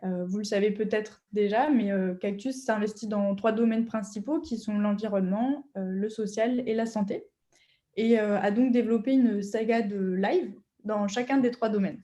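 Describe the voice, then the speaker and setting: A woman's voice: medium pitch, fairly even intonation, normal speed, warm tone. Hesitant woman hosting a webinar. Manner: hesitant, many fillers. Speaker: webinar host. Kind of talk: webinar moderation.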